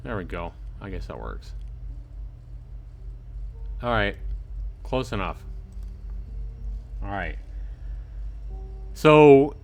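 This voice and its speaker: A man's voice: on the low side, big pitch swings, drawn-out words, long pauses, soft background music. A man hosting a casual livestream, chatting informally and in a friendly way, addressing viewers by name. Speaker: livestream host. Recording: clearly noisy home livestream.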